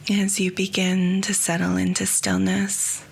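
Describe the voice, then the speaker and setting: Slow, hushed woman guiding meditation. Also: medium pitch, monotone, vocal fry, a little hiss or hum. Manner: soft, slow and hushed, often near a whisper. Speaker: yoga nidra guide. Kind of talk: guided meditation.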